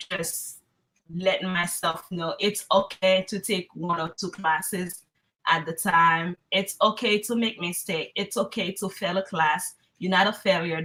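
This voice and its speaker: Woman, 20s, from Haiti; interview guest, candid and encouraging, bright tone. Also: lowish voice, low-quality recording. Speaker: online student guest. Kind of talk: interview answers.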